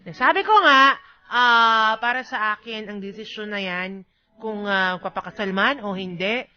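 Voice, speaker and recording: A woman's voice: medium pitch, measured pace, sharp tone, dull audio, a little hiss or hum. A woman with a Filipino accent co-hosting a radio show, giving blunt, emphatic advice in casual banter. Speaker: radio DJ co-host.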